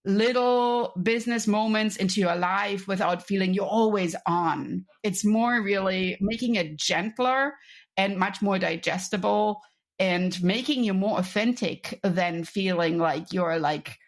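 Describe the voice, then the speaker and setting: A woman's voice: medium pitch, unhurried. A middle-aged woman from Europe, a business coach speaking as a podcast guest in an enthusiastic, chatty way with frequent restarts. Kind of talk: conversational podcast interview.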